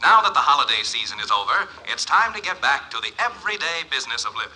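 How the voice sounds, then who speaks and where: A man's voice: medium pitch, sing-song, fast talker, over music, close to an everyday voice, poor audio. An American man playing a hearty 1950s radio game show announcer. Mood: chatty.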